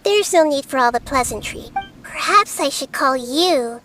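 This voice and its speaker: A woman playing a small, wise young girl deity in a video game, measured and explanatory, with music behind her. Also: sits high, lively intonation. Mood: calm, knowing. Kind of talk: scripted video game dialogue. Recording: loud background music.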